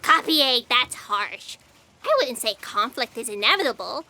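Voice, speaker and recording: A woman's voice: on the high side, expressive, normal speed, bright, forceful tone, exaggerated voice, low-quality recording. A woman playing an earnest, idealistic female fact checker who questions everything in a scripted comedy audio drama. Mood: earnest protest.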